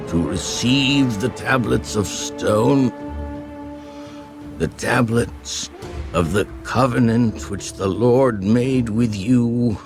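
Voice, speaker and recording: Solemn old bible prophet, low voice, super slow grave oratory, music behind. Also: male voice. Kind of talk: dramatized audio Bible reading.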